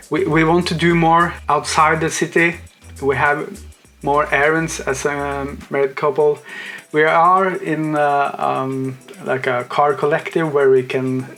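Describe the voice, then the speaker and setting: A man's voice: a little high, a bit bright. A man, talking eagerly in a rambling casual vlog, often correcting himself. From Norway. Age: 40s. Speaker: car vlogger.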